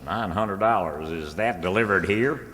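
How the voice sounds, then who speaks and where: An actor playing an earnest, gullible small-town rustic schemer, folksy and homespun, in old-time radio comedy. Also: male voice, deep voice, moderate pace, fairly bright tone. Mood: doubtful haggling. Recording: echoey hall with long reverb.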